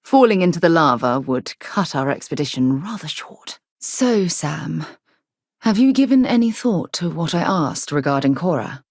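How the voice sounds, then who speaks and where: An actress playing a resolute, principled woman space explorer in a video game, with a husky voice and an earnest, articulate way of speaking. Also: deep voice, big pitch swings, moderate pace, a little mellow, no echo, studio quality, exaggerated voice. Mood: curious, businesslike. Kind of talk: video game character acting.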